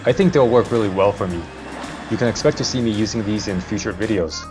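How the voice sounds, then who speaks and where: A young man narrates a casual gear review as a vlog host. His voice sits on the low side, with soft music underneath. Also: quick pace.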